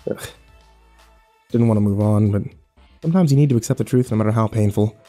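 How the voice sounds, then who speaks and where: A man's voice: lowish voice, a little fast, warm tone, faint music behind, natural voice. A man playing a weary, sarcastic young survivor who narrates and talks in an informal first-person audio drama. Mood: deadpan, exasperated. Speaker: actor and narrator.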